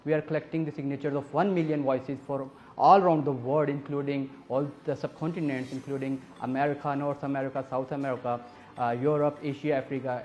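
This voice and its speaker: A man's voice: medium pitch, darkish tone, lo-fi sound, a little hiss or hum. Earnest man urging peace. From Pakistan. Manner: earnest, emphatic, lists items in rhythm. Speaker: executive director of a peace organization. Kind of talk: advocacy interview speech.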